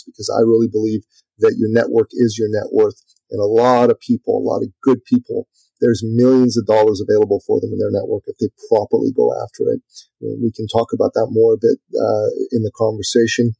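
A middle-aged man from Iran speaks in a low, gravelly, slightly husky voice with a dark, mellow tone. His delivery is flat and conversational, filled with ums and restarts. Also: dry recording, poor audio. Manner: talkative, many fillers and restarts. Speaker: podcast guest and author. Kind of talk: casual interview talk.

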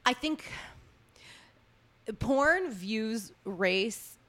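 A woman's voice: fairly high pitch, animated, drawn-out words, fairly bright tone. Podcast host lady, curious, laughs a lot, asks blunt questions. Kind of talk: casual interview chat.

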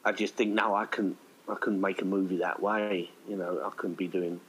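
Male interview guest, lower register, conversational and rambling, drops into quoted asides. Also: level tone, moderate pace, a bit bright, slightly rough, low-quality recording. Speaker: indie filmmaker, podcast guest.

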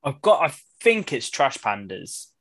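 A man's voice: mid-range voice, big pitch swings, unhurried, dry recording, studio quality. A man hosting a casual podcast, upbeat and jokey, sounding a bit nasal and congested from a cold. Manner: upbeat, jokey, laughs often. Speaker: podcast host. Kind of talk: casual chat.

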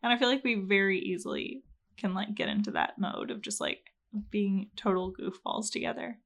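A woman's voice: medium pitch, normal speed, vocal fry, fairly bright tone. A young woman hosting a podcast, chatting earnestly and openly with her husband and laughing often. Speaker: podcast host. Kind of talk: casual chat.

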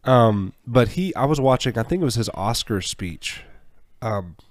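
A man's voice: mid-range voice, expressive, a little slow, no echo, studio quality. Upbeat man chatting on a podcast. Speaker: podcast co-host. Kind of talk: casual conversation.

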